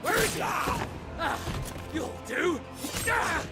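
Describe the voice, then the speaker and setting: An actor playing a terrified, desperate soldier who screams frantically in a video game cutscene. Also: male voice, very high-pitched, very animated, drawn-out words, exaggerated voice. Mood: panicked. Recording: distant, over loud music.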